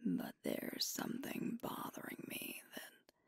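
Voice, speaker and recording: A woman playing a sweet, devoted werewolf girlfriend in an ASMR roleplay, speaking in a hushed, breathy, tender voice close to the microphone. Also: dry recording, lightly acted. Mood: tender, concerned. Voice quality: breathy, whispery. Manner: slow, tender, hushed delivery with pauses. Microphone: close microphone, dry.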